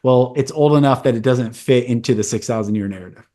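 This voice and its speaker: A man's voice: mid-range voice, fast, mellow. A man in his forties with a mid-range voice hosts a podcast. He shares a personal story in a candid, earnest, conversational way. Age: 40s. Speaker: podcast host. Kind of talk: casual conversation.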